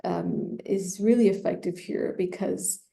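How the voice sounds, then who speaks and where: A woman who specializes in personal branding, giving measured, thoughtful advice in an interview with frequent fillers. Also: lowish voice, fairly even intonation, creaky, mellow. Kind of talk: conversational expert advice.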